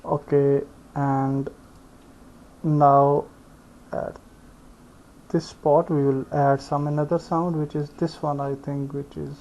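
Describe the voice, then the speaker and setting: A man giving an unscripted step-by-step software tutorial, speaking haltingly and thinking aloud as he works. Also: a little high, level tone. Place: indoors, screen-recording voice-over. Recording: dull lo-fi voice recording.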